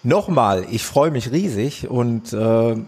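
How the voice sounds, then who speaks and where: A man's voice: medium pitch, lively intonation, takes it slowly, darkish tone, breathy edge. A man hosting a podcast, interviewing guests in an enthusiastic, affirming way. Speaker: podcast host. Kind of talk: casual interview.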